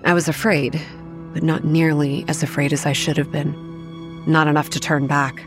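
Young woman storyteller, low voice, measured, matter-of-fact, horror narration, soft music underneath. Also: level tone. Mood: grave, measured. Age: young adult. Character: young woman campground manager, grimly recounting childhood horrors. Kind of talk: scripted first-person horror narration.

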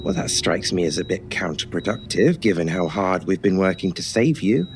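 Low-voiced, chatty hospital nurse. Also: male voice, very animated, moderate pace, soft background music. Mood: calm, reassuring. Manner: polite, wordy, gently joking. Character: chatty, joking, mild-mannered male hospital nurse. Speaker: voice actor in an audio drama. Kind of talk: old time radio horror drama acting.

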